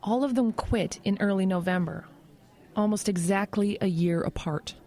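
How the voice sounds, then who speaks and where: An actress as a friendly, overworked small-town office woman in a radio play. Her voice is medium in pitch. She speaks conversationally, hedging and hesitating as if reluctant to say too much. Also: moderate pace, dark tone, lightly acted. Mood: reluctant, confiding. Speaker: actress in an audio drama. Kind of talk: audio drama acting.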